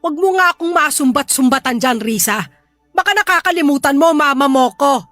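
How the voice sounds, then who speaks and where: Hot-tempered middle-aged Filipino mum, radio-drama melodrama. Very high, sharp, scolding and quick to threaten. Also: female voice, no echo, faint music behind. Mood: angry, scolding. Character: hot-tempered, nagging, gambling widowed Filipino mother.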